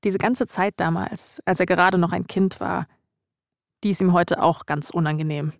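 A woman's voice: lowish voice, steady tone, normal speed, gravelly, no room sound, studio quality. A woman, speaking as a podcast host in narration and casual chat.

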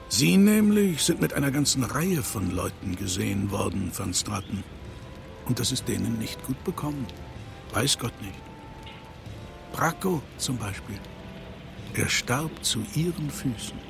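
A rich, menacing older man in a radio thriller. He speaks deliberately in a creaky, mocking voice, coldly superior, with clear music and rain behind him. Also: mid-range voice. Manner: deliberate, sardonic. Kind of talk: German radio drama acting.

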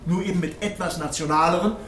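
An insistent, long-winded prosecutor in a radio play. His voice is high and formal, making pointed, halting arguments. It sounds distant in a very roomy space with faint noise. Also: steady tone, a bit bright. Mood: insistent, accusing. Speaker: public prosecutor in court. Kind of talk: scripted audio drama courtroom speech.

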